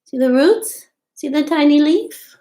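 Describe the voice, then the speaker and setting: A woman's voice: high-pitched, animated, unhurried, dark tone. A woman in her thirties reading aloud and explaining things simply and expressively for young children, as a storytime host. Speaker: storytime host at a community farm. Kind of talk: children's read-aloud and show-and-tell. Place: small room at home, indoors.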